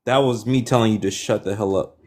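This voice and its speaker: Boastful, brusque man with a low voice. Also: fairly quick, a little mellow. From United States. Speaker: panel speaker. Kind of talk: heated live debate panel.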